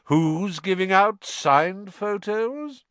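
Man putting on a booming, jovial, self-satisfied gentleman. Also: lively intonation, extremely slow, smooth, no echo, exaggerated voice. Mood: booming jollity. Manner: thundering, paternal, self-satisfied. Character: jovial, booming, vain, patronising grown man. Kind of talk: audiobook character voice.

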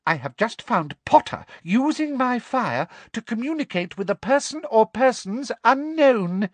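A man voicing a furious middle-aged witch headmistress in a high, sweetly girlish voice that rises to shrieks. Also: very animated, measured pace, dry recording, full character voice. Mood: shrill fury.

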